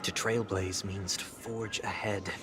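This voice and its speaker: An actor playing a composed, formal young man who speaks softly and deliberately in a game. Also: fairly low pitch, flat delivery, background noise, lightly acted. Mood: probing. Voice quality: soft-spoken, formal, measured. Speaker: game character. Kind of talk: game voice acting. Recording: game audio with music underneath.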